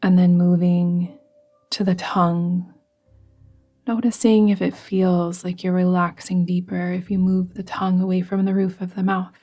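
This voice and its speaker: A woman guiding a yoga nidra meditation in a soft, soothing, gently instructing manner, with breathy phrase endings. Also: fairly low pitch, flat delivery, moderate pace. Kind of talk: guided meditation. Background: music.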